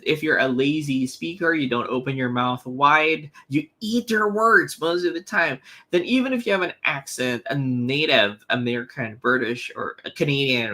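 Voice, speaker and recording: A man's voice: medium pitch, bright, forceful tone. A man teaching an English test prep webinar, explaining earnestly with fillers and restarts. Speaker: IELTS teacher. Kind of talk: webinar lesson with slides.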